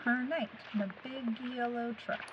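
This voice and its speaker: A woman's voice: fairly high pitch, steady tone, moderate pace, highs cut off, natural voice. An actress playing a frank, curious young woman in an audio drama, with a direct, conversational delivery. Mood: curious, gentle. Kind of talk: naturalistic audio drama acting. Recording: noisy outdoor ambience.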